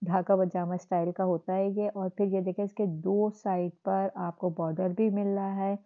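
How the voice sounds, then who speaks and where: A woman's voice: mid-range voice, flat delivery, normal speed. A woman with a Karachi Urdu accent chatting casually to her viewers while showing dresses in a clothing shop. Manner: chatty, addresses viewers as sisters. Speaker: shopping vlogger. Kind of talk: casual shopping walkthrough. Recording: phone-like narrow sound.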